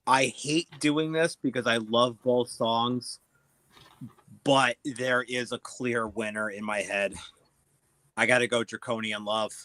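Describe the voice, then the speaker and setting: A male podcast panelist who speaks slowly in a bright voice. The sound is dry, and his delivery is casual and conversational. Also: mid-range voice, fairly even intonation, no echo. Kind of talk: casual music discussion.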